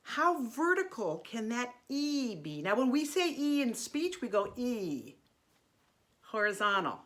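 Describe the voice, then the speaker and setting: A woman teaching an online singing lesson in a gentle, chatty way, her voice a little congested from allergies. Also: fairly high pitch, sing-song, takes it slowly. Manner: gentle, chatty, demonstrating vocal sounds.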